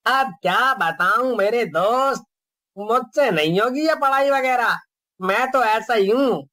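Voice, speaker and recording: A man's voice: very high voice, lively intonation, unhurried, pushed and bright, no room sound, studio quality, full character voice. A man voicing a lazy, boastful young village man in a cartoon story, gleeful as he dreams of getting famous. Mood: eager. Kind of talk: cartoon voice acting.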